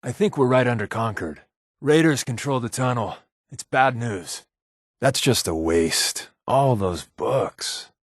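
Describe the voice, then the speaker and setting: A sardonic wasteland spy in a video game, close and dry in sound. He jokes in a deadpan way, yet his mid-range pitch moves around a lot. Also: unhurried, no echo. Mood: musing. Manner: dry, deadpan, joking delivery. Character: wisecracking sardonic male spy companion in a wasteland. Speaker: voice actor. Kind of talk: video game companion dialogue.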